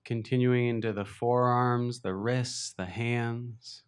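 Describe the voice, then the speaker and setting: A man leading a beginners' body scan meditation, speaking slowly and gently with long pauses. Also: medium pitch, steady tone, smooth. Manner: slow, gentle, unhurried guidance with long pauses. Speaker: meditation teacher. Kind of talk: guided meditation.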